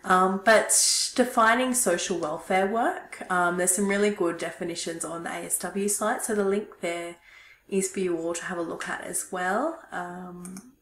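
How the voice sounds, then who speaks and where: A woman in her thirties giving a recorded university lecture, hoarse and throaty from losing her voice, relaxed and chatty with many ums. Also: on the low side, moderate pace, echoey room. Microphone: webcam at desk.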